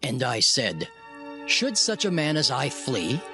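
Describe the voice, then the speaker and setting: A man narrates scripture in the first person, solemn and measured, his pitch moving expressively through each phrase. Music plays clearly underneath him. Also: wildly swooping pitch.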